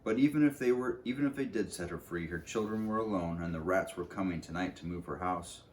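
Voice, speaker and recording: A man's voice: deep voice, a little fast, darkish tone, rough recording. A man reading a storybook aloud as narrator, informally, sometimes stumbling and rereading, with some background noise.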